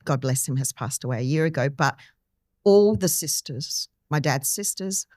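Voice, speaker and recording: A woman's voice: sits low, expressive, normal speed, darkish tone, no echo, clean studio sound. A woman in her early fifties, originally from Timor-Leste, telling her family story as a podcast guest in an earnest, personal way. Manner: earnest, personal, with many restarts and ums. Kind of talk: conversational interview storytelling.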